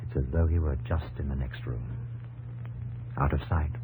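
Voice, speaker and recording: An actor playing an earnest, determined industrial pioneer and devoted husband in a 1950s radio drama. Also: male voice, rumbling low, steady tone, normal speed. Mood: tender, hushed. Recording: old radio broadcast with faint hum.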